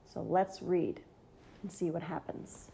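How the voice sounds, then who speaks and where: A woman's voice: fairly low pitch, lively intonation, takes it slowly, gravelly, noisy background. A woman reading a children's story aloud in an enthusiastic, dramatic narrating style. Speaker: storybook reader.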